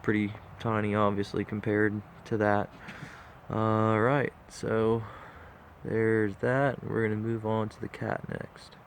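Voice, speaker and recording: Stuffed-up man, flat low voice. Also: takes it slowly, a little hiss or hum. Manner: laid-back, rambling with many fillers. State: stuffed-up nose. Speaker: diecast model collector vlogger. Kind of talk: casual walkthrough talk.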